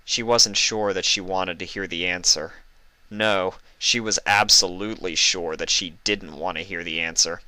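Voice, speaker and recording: A man with a deep voice narrates a story dramatically. His tone is bright and crisp, and the sound is dry and close, with no room on it. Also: dry recording, rough recording. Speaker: fan fiction reader, narrator.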